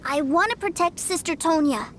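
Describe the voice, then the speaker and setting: A woman voicing an eager, stubborn little boy in a video game, with a childlike, excitable manner. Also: very high-pitched, moderate pace, soft background music. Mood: earnest.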